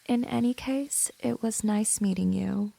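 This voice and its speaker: Woman playing a slow, breathy, gentle vampire temptress. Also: mid-range voice, rough recording. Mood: calm, inviting. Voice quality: soft, breathy, often whispering. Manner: slow, soothing, coaxing. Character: gentle, teasing, seductive female vampire hypnotist. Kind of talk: ASMR roleplay.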